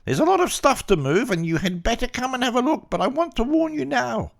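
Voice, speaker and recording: A man voicing a fretful old man with a shrill, high-pitched voice. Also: very animated, a little fast, gravelly, heavily acted. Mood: anxious. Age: 60s. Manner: shrill, anxious pleading.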